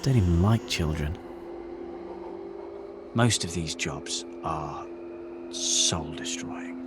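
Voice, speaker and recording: An actor playing a disillusioned, wry jobbing actor stuck in office work, deadpan and self-deprecating, in an audio drama. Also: male voice, a bass voice, slow, noisy background. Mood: wry, reflective. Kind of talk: audio drama dialogue and monologue.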